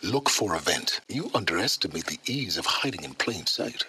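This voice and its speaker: A man's voice: deep voice, sing-song, moderate pace, sharp tone, breathy edge, dry recording, heavily acted. An actor playing a secretive, learned middle-aged man in a video game, imperious and condescending, with formal, precise diction. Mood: stern. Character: secretive, learned older male wanderer, imperious and impatient. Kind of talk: video game character dialogue.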